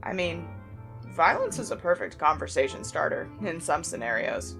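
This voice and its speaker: A combative young fighter who is blunt and cocky and loves to needle people, as a woman acts her. Her voice sits a little low, with faint music under it. It is a fan audio drama. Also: sing-song, normal speed, gravelly, a bit bright, heavily acted. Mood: brash.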